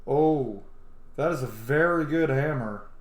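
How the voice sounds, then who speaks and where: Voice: medium pitch, measured pace. Casual, rambling game streamer, echoey room. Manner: rambling, thinking aloud. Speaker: streamer playing a role-playing game. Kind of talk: casual live gameplay commentary. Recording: roomy echo, faint background noise.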